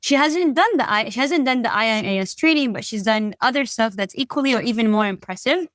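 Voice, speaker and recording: Enthusiastic female podcast host, slightly high voice, dry close recording. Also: animated, fairly quick, no echo. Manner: enthusiastic, upbeat, laughs easily. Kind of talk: casual interview hosting.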